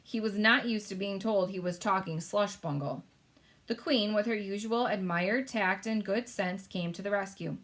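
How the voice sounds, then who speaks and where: A woman narrating a children's book aloud, steady and clear. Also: medium pitch, normal speed. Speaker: storyteller.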